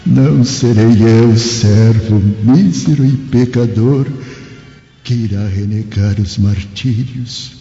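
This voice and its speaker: Slow, gravelly old Samaritan martyr. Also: male voice, medium pitch, mellow. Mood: resigned prayer. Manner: slow, solemn, prayerful. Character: gentle, devout old Samaritan man, resigned martyr. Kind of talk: radio drama acting. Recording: dull, echoey recording with long reverb, music. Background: music.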